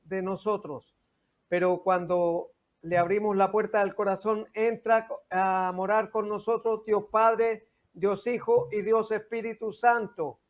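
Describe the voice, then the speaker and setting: An older man with a Chilean accent hosting a religious interview as a pastor, speaking in a deliberate, halting, preacherly way on a dull recording. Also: sits high. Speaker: TV host and pastor. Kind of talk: religious interview, devotional talk.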